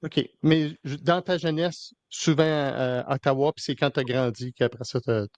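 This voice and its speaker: A man's voice: medium pitch, fairly even intonation, measured pace, a little mellow, no room sound. A man hosting a podcast interview in casual Québécois French, hesitant, with many fillers and restarts. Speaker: podcast host.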